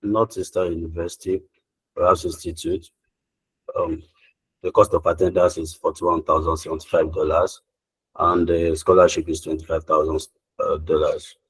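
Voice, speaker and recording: A man's voice: low-pitched, level tone, moderate pace, husky, dry recording, rough recording. A man answering mock student visa interview questions politely and formally, with some hesitation.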